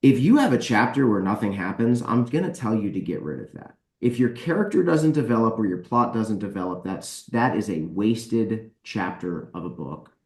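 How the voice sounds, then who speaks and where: A man's voice: fairly low pitch, sing-song, moderate pace, hardly any pauses, a little mellow. A man in a casual podcast discussion, speaking emphatically and repeating words to drive points home. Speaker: podcast guest, author and editor. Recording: roomy, echoey voice pickup.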